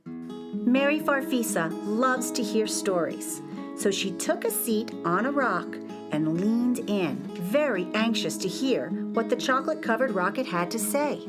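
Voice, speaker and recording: A woman narrating a scripted children's story with an expressive storybook delivery. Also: on the high side, a little slow. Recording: guitar music behind the voice.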